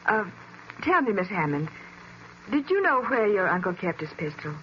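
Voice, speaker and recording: A woman playing a tactful, quick-witted female detective's assistant with an American accent, polite and coaxing, in 1940s radio acting style. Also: mid-range voice, sing-song, a bit bright. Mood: gently coaxing. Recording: muffled, hissy old radio recording.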